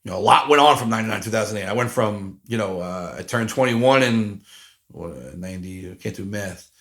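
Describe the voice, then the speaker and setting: A man's voice: lowish voice, animated, a little fast, fairly bright tone. A man in his forties talking alone on a podcast, rambling and self-deprecating, with lots of fillers and restarts. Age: 40s. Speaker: podcast host. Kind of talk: casual solo podcast monologue.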